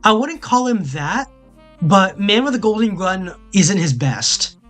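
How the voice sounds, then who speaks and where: A man's voice: high-pitched, big pitch swings, a bit bright, soft background music. Easygoing man hosting a chat. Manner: easygoing, agreeable, quick to laugh. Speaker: host. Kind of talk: casual chat.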